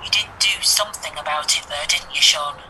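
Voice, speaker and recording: An actress playing a concerned, persistent detective inspector in an audio drama, coaxing and questioning in a measured way. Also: female voice, sits low, background noise, close to an everyday voice. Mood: probing, gentle.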